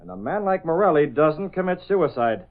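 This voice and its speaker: An actor playing a shrewd, decisive newspaper boss with a brisk, authoritative manner in an old radio drama. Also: male voice, on the high side, sing-song. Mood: grave, insistent. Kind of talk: 1930s-40s radio acting. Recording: tinny phone-like old radio recording.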